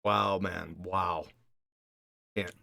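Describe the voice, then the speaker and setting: A man's voice: sits low, steady tone, drawn-out words, vocal fry, pushed and bright, no echo, clean studio sound. A man hosting a live panel commentary, mocking and emphatic, hammering home short repeated phrases. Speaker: host of a live debunking show.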